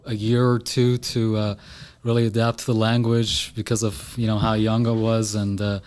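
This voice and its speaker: A man's voice: on the low side, flat delivery, moderate pace, darkish tone. A man of about thirty from Iran, a podcast guest talking casually in a studio with lots of "uh" and "you know" fillers. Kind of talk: casual interview talk.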